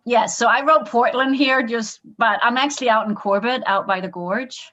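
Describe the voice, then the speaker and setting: Woman, higher voice, friendly questions, home office, dull lo-fi video call. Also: normal speed, pushed and bright. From Denmark. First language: Danish. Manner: friendly, conversational, asks questions. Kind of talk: casual video call chat. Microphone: computer on a video call.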